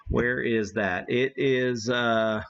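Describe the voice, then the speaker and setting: A man hosting a live hobby stream, giving a casual demo and thinking aloud in a halting way. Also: mid-range voice, steady tone, a bit bright, lo-fi sound. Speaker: ham radio live stream host.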